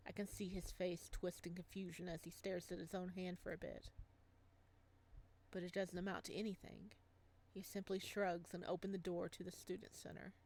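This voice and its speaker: A woman's voice: lowish voice, natural voice. Woman reading as an anxious young male ghost. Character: anxious, lonely young male ghost narrating his story. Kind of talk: visual novel read-aloud, first-person narration.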